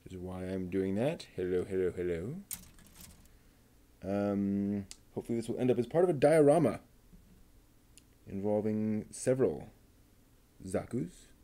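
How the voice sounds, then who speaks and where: Low-voiced man muttering through a model build. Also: measured pace, pauses often, warm tone. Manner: muttering to himself while assembling, casual. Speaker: model kit builder, streamer. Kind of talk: live-stream build commentary.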